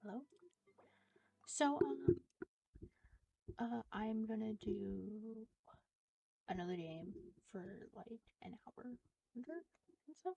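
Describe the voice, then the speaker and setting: A woman's voice: on the high side, drawn-out words, gravelly, pauses often, warm tone, slight background noise. A woman game streamer chatting casually and hesitantly, trailing off between thoughts. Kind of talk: casual livestream chat while gaming. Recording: dull lo-fi stream audio, music behind.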